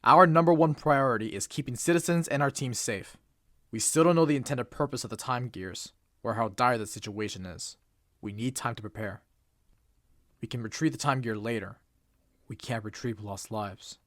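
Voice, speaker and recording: A stern, formal bird assistant, acted by a man, lectures in clipped, precise phrases, proud and unbending. His voice sits at an ordinary middle pitch and sounds close and dry, with nothing from the room around it. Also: moderate pace, fairly bright tone, no room sound, natural voice. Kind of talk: fan comic dub acting.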